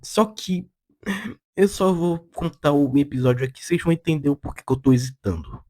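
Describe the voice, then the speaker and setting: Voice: mid-range voice, fairly quick, darkish tone. A person with a Brazilian Portuguese accent giving casual opinion commentary as a video essayist, in a blunt, slangy, sarcastic way.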